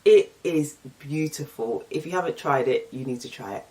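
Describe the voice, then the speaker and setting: A woman's voice: low-pitched, normal speed, a little mellow, far from the mic, low-quality recording. A young woman with an English accent chatting casually and candidly, reading out and answering get-to-know-me questions. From England. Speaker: vlogger.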